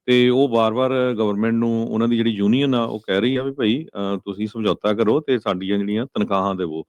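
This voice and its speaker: Low, clean-voiced radio newsman. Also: brisk, a little mellow, no room sound, dull audio. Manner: mixes English words into speech. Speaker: radio news host. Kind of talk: conversational news reading.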